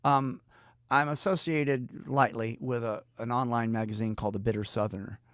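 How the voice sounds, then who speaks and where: A man's voice: mid-range voice, fairly even intonation, moderate pace, a bit bright, clean tone, dry recording, clean studio sound. An older man from Georgia with a Southern US accent, telling anecdotes casually as an interview guest, starting and stopping and acting out bits of dialogue. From Deep South, Georgia, United States. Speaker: professional storyteller, guest.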